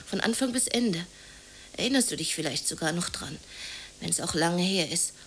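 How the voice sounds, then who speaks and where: A woman's voice: lowish voice, animated, normal speed, a little hiss or hum. An actress in a German radio drama playing a bitter, anxious, unemployed working-class woman who confides in plaintive, colloquial speech. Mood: weary, confiding. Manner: colloquial, confiding, plaintive, sometimes near whispering.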